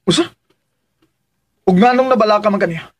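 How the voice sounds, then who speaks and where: A high, husky voice with a Filipino accent: a stubborn grown son in a radio drama. He is defensive and insistent, firing questions back as he stands up for the woman he loves. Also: normal speed. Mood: indignant, challenging.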